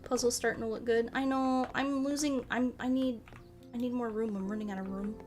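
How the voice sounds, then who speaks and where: A woman streaming a game, chatting casually in a rambling, halting way with self-corrections and playful, cutesy phrasing. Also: fairly high pitch, fairly even intonation, darkish tone. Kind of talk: casual chat while playing a game. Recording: music behind the voice. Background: music.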